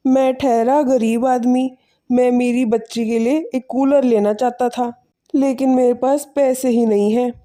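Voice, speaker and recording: A woman performs a poor village man from an animated folk tale. The voice is fairly high for the performer's sex and soft-edged, weary but kind, speaking humbly and earnestly. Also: unhurried, close to an everyday voice. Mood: humble, earnest. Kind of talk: animated moral story dialogue.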